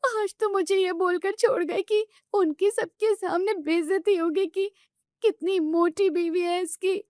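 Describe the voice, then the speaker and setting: Woman voicing a high-pitched, sobbing young bride. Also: steady tone, unhurried, darkish tone, no echo, clean studio sound. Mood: tearful, hurt. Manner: plaintive, sobbing delivery. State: crying. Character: tearful young bride, hurt and timid sister-in-law.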